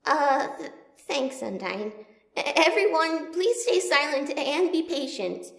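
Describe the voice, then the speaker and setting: A woman's voice: high-pitched, animated, unhurried, vocal fry, fairly bright tone, reverberant, full character voice. A woman voicing a nervous, timid female monster scientist who stammers, in an audio drama. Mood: anxious. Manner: stammering, hesitant.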